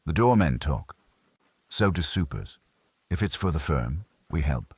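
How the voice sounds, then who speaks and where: Man acting working-class male porter, storybook mystery, impassive, terse, deep, dry close sound. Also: sing-song, a little slow, a little mellow, slightly rough, dry recording, full character voice. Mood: guarded.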